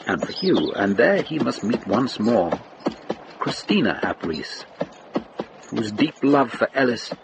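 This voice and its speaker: Expressive male radio drama narrator. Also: medium pitch, background noise. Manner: literary, deliberate phrasing.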